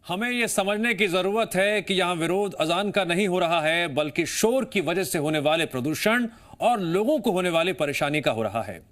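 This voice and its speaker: A man's voice: sits high, expressive, moderate pace, a bit bright. A man presenting scripted news as an anchor.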